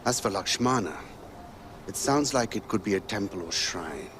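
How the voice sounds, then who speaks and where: A man plays an earnest guerrilla leader in a video game, harried by war yet measured. His low voice moves expressively, with clear background noise around it. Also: animated, lightly acted. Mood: defensive, apologetic. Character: earnest rebel commander, welcoming but harried by war. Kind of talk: video game cutscene acting. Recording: noisy game soundtrack with outdoor ambience.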